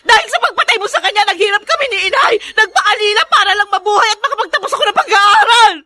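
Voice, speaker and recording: An actress playing a furious, grieving young daughter, accusing and tearful in a radio drama. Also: female voice, very high voice, animated, fast, pushed and bright, dry recording, studio quality, full character voice.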